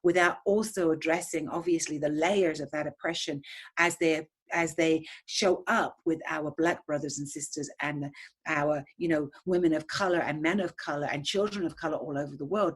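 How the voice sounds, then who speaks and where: A woman speaks as a podcast guest in a low, husky voice. She talks with fervent conviction and often repeats a word while she reaches for the next thought. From England. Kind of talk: impassioned conversational podcast talk.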